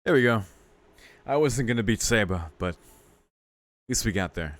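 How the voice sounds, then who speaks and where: A man's voice: lowish voice, animated, moderate pace, no echo. A man doing casual gameplay commentary as a video host, reacting with exclamations and mock exasperation.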